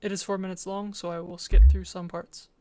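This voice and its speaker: Flat-toned woman giving a project presentation. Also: on the low side, a little fast, heavy background noise. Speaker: student presenter. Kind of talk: informal presentation with game demo narration.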